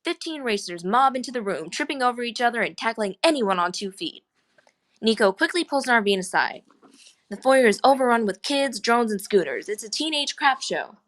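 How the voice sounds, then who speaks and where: A woman's voice: on the high side, animated, moderate pace. A woman reading scripted screenplay action lines as the narrator of a table read.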